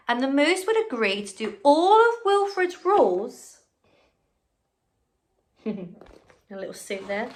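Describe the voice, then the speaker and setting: A woman reading a picture book aloud as a primary teacher, expressively, with chatty asides and questions to the children. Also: a little high. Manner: expressive, encouraging, asks the listener questions. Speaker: primary school teacher reading a story to pupils.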